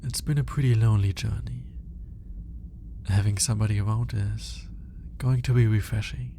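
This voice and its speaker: A man playing a lonely, lovelorn alien scientist in an intimate ASMR roleplay, musing aloud in a hesitant, halting manner. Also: lowish voice, fairly even intonation, warm tone, a little hiss or hum, lightly acted. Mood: awkward, shyly smitten. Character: lonely male alien scientist, obsessive, awkward, smitten, lovelorn.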